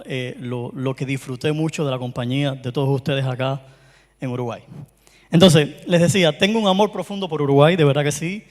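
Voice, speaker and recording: A man with a Cuban Spanish accent giving a conference talk, chatty and friendly, with frequent hesitations and restarts. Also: medium pitch. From Cuba. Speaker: conference speaker. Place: conference hall. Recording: long hall reverb.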